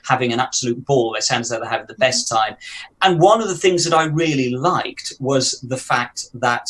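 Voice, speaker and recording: A man's voice: medium pitch, a bit bright. A man co-hosting a podcast, talking enthusiastically and often restarting his words. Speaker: podcast co-host. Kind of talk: casual chat.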